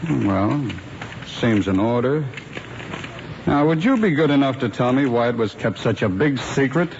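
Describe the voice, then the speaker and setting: An actor playing a firm, skeptical police lieutenant with a dry, probing manner in an old radio drama style. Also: male voice, medium pitch, animated, unhurried. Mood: measured, businesslike. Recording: dull, noisy old radio recording.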